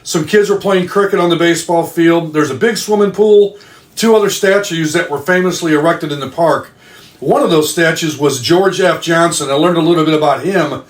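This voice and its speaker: A man's voice: a little high, normal speed. A man recording a casual voice message, telling a personal story step by step. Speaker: fan contributing a voice message. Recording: roomy, echoing amateur voice message.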